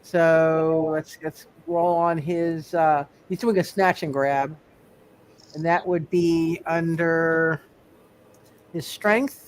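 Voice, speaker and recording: Male game master, high voice, repetitive improvised delivery, slightly noisy setting. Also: fairly even intonation, slow pace. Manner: repeats words and phrases while thinking. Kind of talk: improvised narration of a live tabletop roleplaying game.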